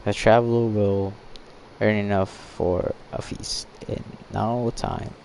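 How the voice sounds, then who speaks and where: Casting: male game streamer, offhand and slow, dark soft tone, reads dialogue aloud haltingly. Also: lowish voice, little pitch movement, creaky, a little hiss or hum. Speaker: gaming streamer.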